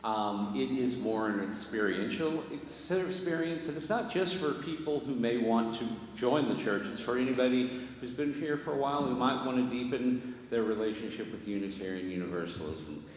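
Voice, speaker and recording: Lay leader, male, mid-pitch, halting delivery, heavy hall echo. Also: slight background noise. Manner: halting, with restarts. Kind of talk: church announcement. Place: large church sanctuary. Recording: echoey hall with long reverb.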